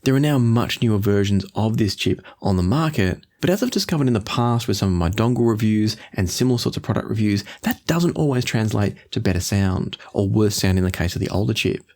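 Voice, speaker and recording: Male review host, slightly low voice, measured and explanatory delivery to viewers. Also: animated, a little mellow. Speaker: host, audio gear reviewer. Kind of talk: product review to camera.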